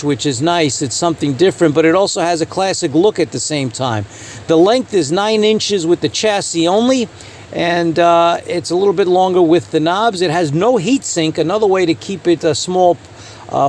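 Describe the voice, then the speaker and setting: Higher-pitched man giving an informal gear review. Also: slight background noise. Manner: halting, hesitant delivery with frequent uh pauses and repeated phrases. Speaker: channel host reviewing radio gear. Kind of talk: informal product review commentary.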